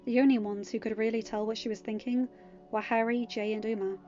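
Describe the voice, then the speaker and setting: A woman's voice: on the high side, flat delivery, a little fast, mellow, muffled recording, faint music behind. A woman reading scripted fiction as an audiobook narrator.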